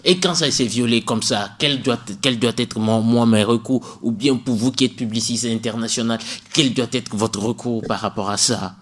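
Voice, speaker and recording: A man's voice: medium pitch, fairly even intonation, moderate pace, hardly any pauses. A man from Togo with a Togolese French accent, hosting a radio talk show and putting questions to a legal expert. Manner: probing, challenges the guest with everyday examples.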